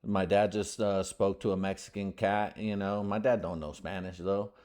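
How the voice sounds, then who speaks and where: Deep low voiced guy rambling on his podcast, slangy, swears a lot, lots of "you know". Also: level tone, moderate pace, a little mellow. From United States. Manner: slangy, profane, rambling with many fillers. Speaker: podcast host. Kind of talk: casual rambling podcast monologue.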